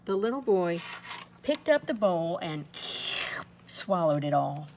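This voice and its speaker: A woman reading aloud to children in an expressive storytelling style, with dramatic pauses and drawn-out emphasis. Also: fairly low pitch, a little hiss or hum. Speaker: storybook reader.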